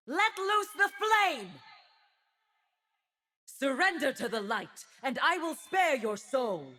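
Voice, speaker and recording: A woman's voice: high voice, pushed and bright, full character voice. A woman playing a stern, zealous divine winged warrior in a video game, making solemn, grandiose declarations. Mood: exalted, wrathful. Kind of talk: video game character voice lines.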